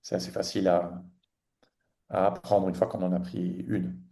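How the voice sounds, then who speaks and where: A man in his forties from Tunisia, a guest on a podcast, talking casually in a halting way with many restarts and fillers. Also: sits low, level tone, vocal fry, mellow. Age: 40s. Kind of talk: casual interview chat.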